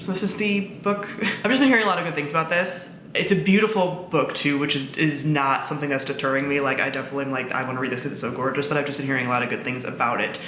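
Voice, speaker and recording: Bright-voiced, enthusiastic woman chatting casually. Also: extremely low pitch, fairly even intonation, quick pace. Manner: enthusiastic, informal with swearing and frequent "like". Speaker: book vlogger, presenter of own channel. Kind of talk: casual chatty vlog talk to camera. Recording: roomy echo, faint background noise.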